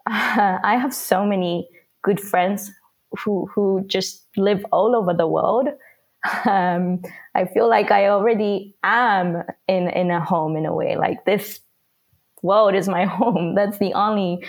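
A woman from Japan giving a reflective interview answer, laughing softly between phrases. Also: fairly low pitch. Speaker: guest.

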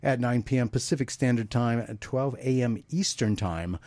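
A man's voice: medium pitch, moderate pace, dark tone, no echo. A man hosting a live radio talk show, chatting casually, laughing often and reading out listener messages.

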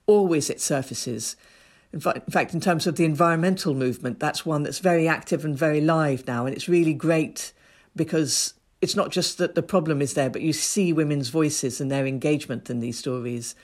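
Woman from ethiopia talking activism, earnest and measured, stacks her points one after another. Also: extremely low pitch. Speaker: guest, women's rights activist. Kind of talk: thoughtful interview answers.

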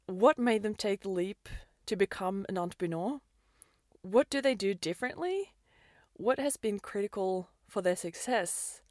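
A woman's voice: medium pitch, no echo, studio quality. A woman, hosting a podcast in an upbeat, enthusiastic scripted monologue. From Norway. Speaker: podcast host and business coach.

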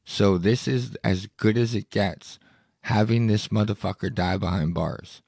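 A podcast host with a lowish, gravelly voice that is going hoarse from a cough. He reads out news items and reacts in a blunt, indignant way, swearing freely. The sound is close and dry, with no room around it. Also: measured pace, darkish tone, no echo. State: hoarse, losing his voice with a cough. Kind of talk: solo podcast commentary reading news aloud.

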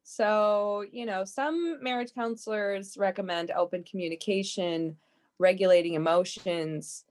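A woman's voice: mid-range voice, a little slow, a little mellow, close to an everyday voice. A woman playing an unorthodox marriage counselor, blunt and casual, in an improvised comedy sketch.

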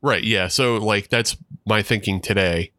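A congested man chats in a low voice. He rambles and laughs easily as he talks. The sound is close and dry. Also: unhurried, bright, forceful tone, no echo. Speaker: podcast co-host. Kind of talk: casual chat.

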